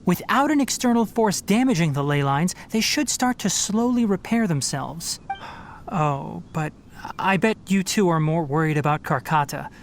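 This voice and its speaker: A man voicing a young forest ranger scholar in a video game, explaining things calmly and at a measured pace. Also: sits high, slight background noise.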